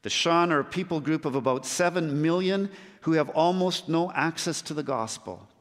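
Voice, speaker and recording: A man delivering an earnest sermon about mission work, pausing for emphasis between phrases. His voice sits a little high for a man. The sound carries the long reverb of a large church hall. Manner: earnest, with measured emphatic pauses. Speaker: missionary preacher.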